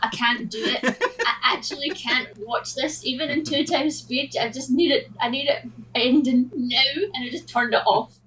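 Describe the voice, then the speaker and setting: A woman's voice: high voice, fairly even intonation, moderate pace, fairly bright tone. A woman chatting casually and expressively as a podcast co-host. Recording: distant mic with faint noise.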